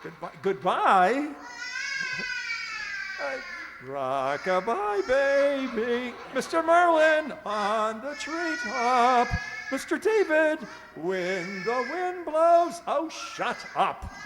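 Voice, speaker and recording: Actor as prim pompous manservant, lively swooping intonation, stiffly polite, flustered, radio play, echoey hall. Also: male voice, very high voice, very slow, background noise, full character voice. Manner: formal, fussy, easily flustered. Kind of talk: radio comedy play acting.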